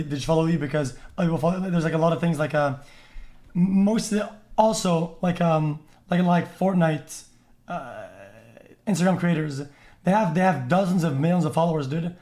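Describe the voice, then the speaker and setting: A young male streamer reacts to a video on stream. His voice sits a little high, and he rambles bluntly and crudely with frequent restarts. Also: a little mellow. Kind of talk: casual reaction commentary.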